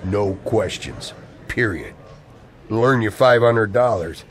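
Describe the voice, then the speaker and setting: A man's voice: fairly low pitch, animated, slow pace, breathy edge, full character voice. A man playing a shady, secretive figure in a noir radio drama, giving terse orders in clipped phrases. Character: shady, secretive male acquaintance offering a suspicious job.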